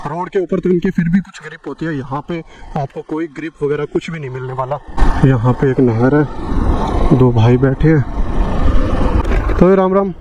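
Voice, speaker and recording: A man giving a relaxed, casual running commentary while riding a motorcycle on rural roads. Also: a little high, normal speed, long pauses. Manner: chatty, relaxed, friendly with locals. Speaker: motorcycle travel vlogger. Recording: muffled mic under loud road and wind noise.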